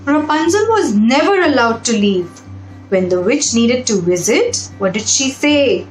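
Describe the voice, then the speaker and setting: A woman's voice: a little high, expressive, unhurried. A woman reading a children's story aloud, adding simple explanations and checking in with young listeners through questions. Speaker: storybook reader and narrator for children. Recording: roomy echo with background music.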